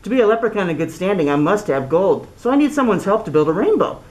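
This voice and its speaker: A tricky little leprechaun man from a children's storybook, played by a woman. He coaxes and pleads in a quaint, theatrical way. His pitch swings freely up and down. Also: animated, fast, a little mellow, slight background noise, lightly acted, rough recording. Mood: glum, earnest.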